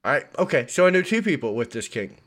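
A man hosting a chatty podcast, his voice a little gravelly as he gets over an illness, cracking puns as he talks. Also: mid-range voice, big pitch swings, fast talker, noisy background. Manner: punning, joking. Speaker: podcast host. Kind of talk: casual chat.

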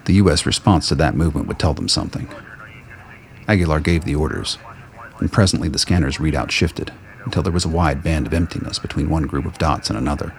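A man's voice: extremely low pitch, quick pace, low-quality recording. A man delivering scripted, dramatic narration for an audio play. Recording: noise, ambient sound effects behind.

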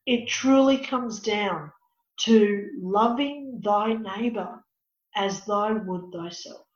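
A woman in her fifties with a raspy voice, speaking as an interview guest and life coach. She talks in an emphatic, preachy way and often says "you know.". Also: mid-range voice, takes it slowly. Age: 50s. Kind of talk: earnest personal conversation. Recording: echoey, dull lo-fi audio.

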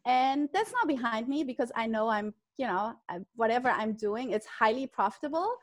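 Woman with a German accent, higher voice. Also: animated. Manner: frank, self-deprecating, laughs often, halting restarts. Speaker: guest, real estate agent. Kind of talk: casual interview answers.

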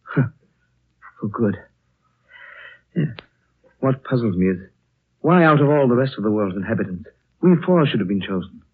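An actor playing a youngish, composed Western traveller in a 1930s radio drama, measured and questioning. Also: male voice, mid-range voice, animated, breathy edge. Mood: puzzled. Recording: thin, narrow-band 1930s radio recording.

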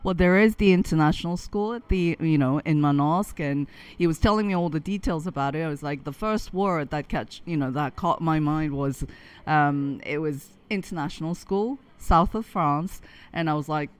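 Chatty, animated woman guest. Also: low voice, normal speed, clear, resonant tone. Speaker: interview guest. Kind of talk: casual storytelling.

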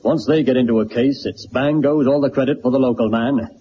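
An actor playing a folksy, deferential British police sergeant, chatting confidingly in a radio drama. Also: male voice, medium pitch, fairly quick, lightly acted. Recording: dull, noisy old radio drama recording.

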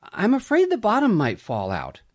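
A man's voice: high-pitched, huge pitch swings, normal speed, voice pushed up, exaggerated voice. A man voicing a timid, wonderstruck daughter in a story, speaking with hesitant wonder. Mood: uncertain, dazed.

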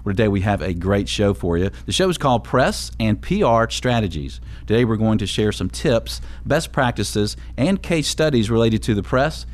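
A man with a fairly low voice hosts a radio talk show. He opens with a smooth announcer's welcome, then moves into a relaxed interview with his guests. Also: moderate pace, hardly any pauses.

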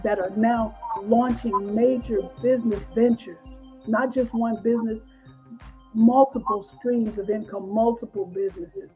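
A woman's voice: a little high, dark tone, rough recording. A woman in her sixties, a guest author and business coach, giving a fervent, rallying motivational talk. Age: 60s. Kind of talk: motivational speaking.

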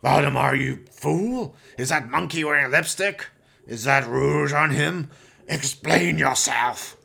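A man playing a menacing, contemptuous villain boss in a radio play, imperious, with drawn-out scornful delivery. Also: fairly high pitch, creaky, pushed and bright, breathy edge, pitched above the performer's own voice, heavily acted. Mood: angry.

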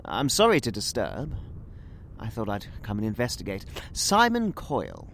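A man's voice: fairly low pitch, huge pitch swings, normal speed, gravelly, a bit bright, slight background noise, full character voice. A British man playing a genteel, talkative Victorian magazine writer in an audio drama, rambling and theatrical in manner. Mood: sheepish, curious. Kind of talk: audio drama acting in period English.

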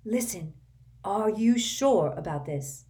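A woman's voice: mid-range voice, animated, takes it slowly, warm tone, far from the mic, pitched above the performer's own voice. A woman voicing an anxious teenage boy wizard who talks in frantic, breathless questions, in a storybook reading.

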